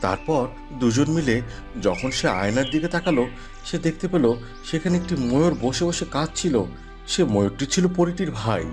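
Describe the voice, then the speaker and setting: A man's voice: on the high side, lively intonation, moderate pace, darkish tone, soft background music. A man giving scripted narration for a children's fairy tale cartoon.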